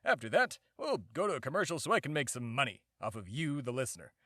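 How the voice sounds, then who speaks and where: A man's voice: mid-range voice, sing-song, fast, dry recording, studio quality. A man playing a bombastic, self-important immortal embodiment of America in a comedy podcast monologue. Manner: pompous, shouting announcer bursts, rambling asides.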